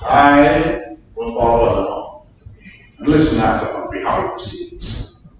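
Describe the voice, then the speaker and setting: A man's voice: mid-range voice, measured pace, natural voice. A man acting a sly, accusing English lord in a staged reading of Shakespearean verse. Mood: sly, gloating. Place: live stage reading in an echoing room. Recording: very echoey, distant, faint noise.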